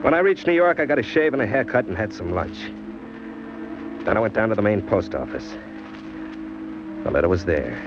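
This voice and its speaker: A man's voice: lowish voice, expressive, fairly quick, pauses often, background noise, close to an everyday voice. An actor playing a cynical, slangy hardboiled criminal who tells his own crime story in an old-time radio drama. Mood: grim, matter-of-fact. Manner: tough, wry, slangy. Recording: dull old radio recording, music behind.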